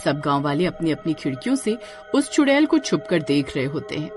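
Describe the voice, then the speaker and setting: A woman tells a scary story as a scripted narrator. Her voice sits a little low and swings expressively for drama, with faint music behind it. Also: very animated, brisk, a little mellow.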